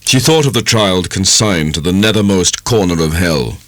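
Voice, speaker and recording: A man narrates classic fiction in a low voice with lively, swooping intonation. His storytelling is measured and dramatic. The sound is dry and close. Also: dry recording. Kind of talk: dramatic literary audiobook narration.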